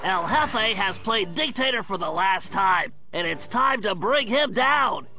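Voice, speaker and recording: A brainy turtle strategist from an animated game. His voice is extremely high, bright and nasal. He lays out plans in earnest, exact, technical terms. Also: a little slow, faint music behind, exaggerated voice. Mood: urgent, commanding. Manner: precise, technical, articulate. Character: brainy, nerdy male turtle mastermind, earnest planner. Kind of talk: animated video game voice acting, mission briefing.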